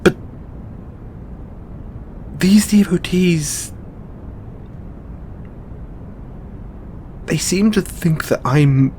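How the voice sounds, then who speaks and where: A man playing a weary, burdened god in an intimate audio roleplay, speaking haltingly with sighs and long pauses. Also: sits high, darkish tone, a little hiss or hum. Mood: burdened, resigned. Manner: halting, sighing, with drawn-out pauses. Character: weary, burdened male god confiding in his lover. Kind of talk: audio roleplay monologue, intimate dramatic acting.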